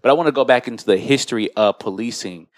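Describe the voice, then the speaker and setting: A man's voice: mid-range voice, quick pace, no room sound, clean studio sound. An American man hosting a podcast in a conversational way, explaining and recounting things as he talks. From United States. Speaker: podcast host.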